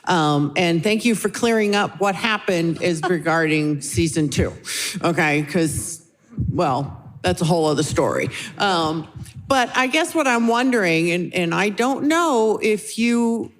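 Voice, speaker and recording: A woman's voice: fairly low pitch, animated, a little slow. A woman asks a casual, effusive and rambling audience question over an echoey hall microphone. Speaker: audience member asking a question. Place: large convention hall.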